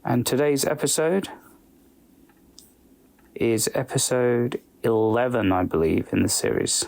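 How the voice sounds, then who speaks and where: A man hosting a podcast, giving a semi-scripted introduction in a measured, informal way. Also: mid-range voice, animated, creaky, darkish tone. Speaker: podcast host.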